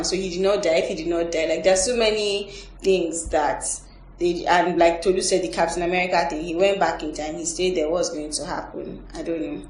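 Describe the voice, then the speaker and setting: A woman's voice: fairly low pitch, a little mellow, distant mic. A woman hosting a casual panel review, giving her opinions and thinking aloud as she talks. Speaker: host of a team film review. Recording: echoey room, off the mic, faint noise.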